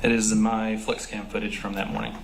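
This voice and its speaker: A man in his twenties, a police officer, giving brief, quiet answers as a witness in court. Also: on the low side, flat delivery, brisk. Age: 20s. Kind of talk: courtroom testimony. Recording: echoey courtroom, distant mic, noisy.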